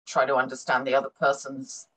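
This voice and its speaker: A woman's voice: a bass voice, completely flat delivery, brisk, a bit bright, low-quality recording. A middle-aged woman who grew up in Hong Kong, a business consultant talking thoughtfully as an interview guest, with many fillers and repeated words. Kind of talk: conversational interview.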